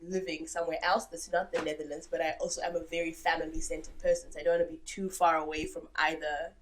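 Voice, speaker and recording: A young woman from Zimbabwe, a guest chatting casually on a podcast, playful and animated as she tells stories. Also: low voice, fast, low-quality recording. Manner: animated, playful storyteller with bursts of laughter. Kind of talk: casual chat.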